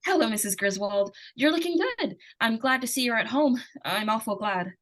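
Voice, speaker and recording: A woman's voice: a little high, expressive, normal speed, a bit bright. A woman playing a plucky, anxious, insistent little girl in an old-time radio suspense play. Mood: eager, polite.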